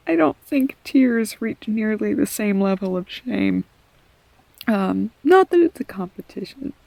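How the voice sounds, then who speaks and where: A woman's voice: fairly high pitch, gravelly, mellow, no room sound. A young woman playing an affectionate, self-deprecating girlfriend in an intimate roleplay audio, rambling candidly and casually. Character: affectionate, self-deprecating girlfriend comforting her partner, goofy storyteller.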